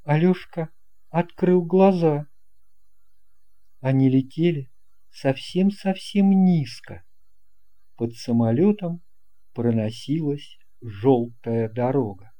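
A narrator reading a children's story with deliberate, measured phrasing. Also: medium pitch, mellow. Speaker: audiobook narrator. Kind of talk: scripted narration of a children's tale.

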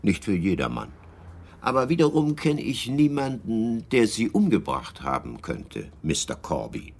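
An actor playing a guarded, courteous bookseller under suspicion in a radio crime drama, measured and evasive in his politeness. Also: lowish voice, huge pitch swings, vocal fry, heavily acted.

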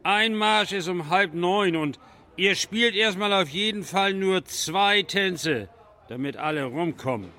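An actor playing a bossy, heavyset village festival bigwig in a radio comedy. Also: male voice, high voice, lively intonation, measured pace, sharp tone, heavily acted. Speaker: shooting-club festival champion.

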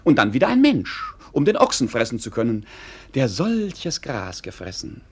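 A roguish, lively, clownish fool. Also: male voice, mid-range voice, moderate pace, breathy edge. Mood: mock-rapturous. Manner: clownish, sing-song, mock-solemn. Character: roguish, earthy fool, idle vagabond servant, cheerfully cynical. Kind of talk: classic German radio play acting.

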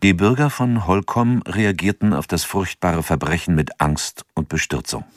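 A man giving sober, measured scripted narration for a radio play. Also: sits low, no room sound, clean studio sound.

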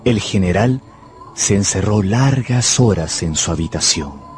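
An earnest, melancholy narrator of his own tale in a radio play. The voice is low, measured and intimate, set over music. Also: expressive. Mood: sober. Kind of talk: first-person radio drama narration. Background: music behind the voice.

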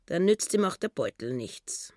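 A man's voice: high-pitched, very animated, measured pace, dry recording, studio quality. A man acting in a radio drama, voicing a pious woman's simple, indignant thoughts in an emphatic, naive, moralizing way. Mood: scornful.

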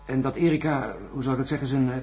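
An actor playing an uncertain astronaut under questioning in a radio drama, halting and groping for words. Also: male voice, mid-range voice, fairly even intonation, darkish tone, faint music behind, close to an everyday voice. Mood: dawning realisation. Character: hesitant, uncertain male astronaut being questioned.

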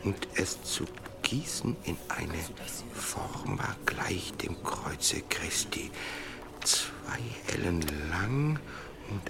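A man in a German radio play acting a solemn reader of a pious old chronicle, reading haltingly. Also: low-pitched, expressive, vocal fry, in a whisper, heavily acted.

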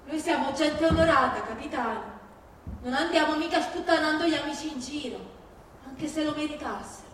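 High-pitched Sicilian mother, acted by a woman, very echoey. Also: moderate pace, fairly bright tone. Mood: bitter, scolding. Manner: emphatic, plaintive, repeating phrases. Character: fierce, grieving Sicilian mother disowning her criminal son. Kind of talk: dramatic theatrical monologue on stage. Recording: very echoey hall from far, faint noise.

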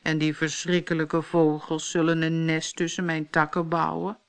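A woman's voice: low voice, level tone, normal speed. A woman voicing a gloomy, self-pitying male apple tree in a storybook, grumbling and complaining. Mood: moaning. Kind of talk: fairy-tale storybook voice.

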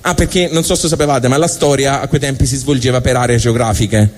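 A male stand-up monologist with a Tuscan accent and a medium-pitched voice. He tells comic stories in everyday language, stopping, restarting and wandering off as he goes. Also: steady tone. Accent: Tuscan Italian. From Tuscany, Italy. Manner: rambling, halting, colloquial, self-interrupting. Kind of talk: live comic storytelling monologue.

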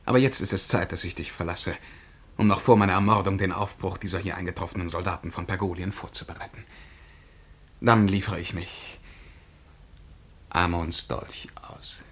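An actor playing a haughty, menacing time traveller in a radio drama, declaiming in a pompous, commanding way. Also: male voice, sits low, fairly even intonation, normal speed, long pauses, a bit bright. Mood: solemn. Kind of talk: German radio drama acting.

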